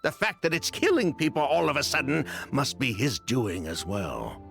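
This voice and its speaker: A shady video game crook, defensive n wry, voice moves around a lot, bit of music under it. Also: male voice, mid-range voice, big pitch swings, normal speed, gravelly, fairly bright tone. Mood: bitter. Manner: wry, sighing, self-justifying. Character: evasive, defensive criminal man confessing past wrongdoing. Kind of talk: dramatic video game dialogue.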